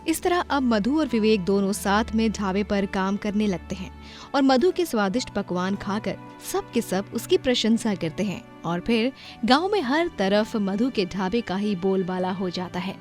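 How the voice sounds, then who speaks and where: A woman narrating a cartoon moral story in an expressive storybook style. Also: mid-range voice, moderate pace. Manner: expressive, emotional. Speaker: narrator and heroine Madhu. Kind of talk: storybook narration and acted dialogue. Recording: background music under voice.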